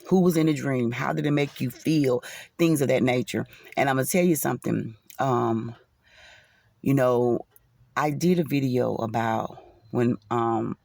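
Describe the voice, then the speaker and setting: A man's voice: medium pitch, vocal fry, a little mellow, no room sound, clean studio sound. A man with a stuffy nose giving an earnest, preachy spiritual talk to camera, with many fillers and a lot of "you know.". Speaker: faith vlogger.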